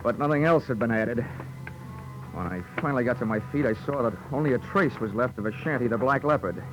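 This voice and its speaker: An American actor playing a dry, deadpan, hard-boiled insurance investigator narrating his case in a 1940s radio drama. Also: medium pitch, fast talker, lightly acted, rough recording. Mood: wry, tense narration. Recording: old 1940s radio recording, music behind.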